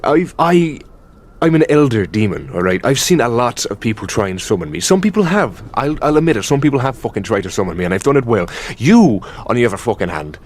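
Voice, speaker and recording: A man with an Irish accent playing an exasperated, mocking, possessive elder demon boyfriend in an ASMR roleplay, sardonic and sweary in a halting, conversational way. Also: medium pitch. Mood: exasperated, scornful.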